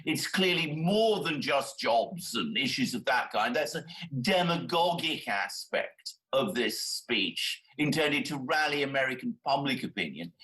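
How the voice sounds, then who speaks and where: A man's voice: mid-range voice, a bit bright, rough recording. A man giving emphatic political commentary, often repeating words as he argues. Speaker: panel commentator.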